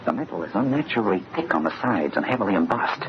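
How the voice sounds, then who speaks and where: An actor playing an earnest, agitated young fiancé in an old-time radio mystery, speaking with dramatic insistence. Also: male voice, lowish voice, big pitch swings, fast talker. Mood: brooding, intent. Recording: muffled, noisy old radio recording.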